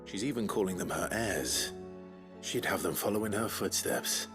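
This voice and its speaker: An actor playing a calm, kindly man who speaks gently and patiently in a video game. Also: sits low, over music. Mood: sober, reassuring. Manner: measured, gentle, encouraging. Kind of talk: video game dialogue acting.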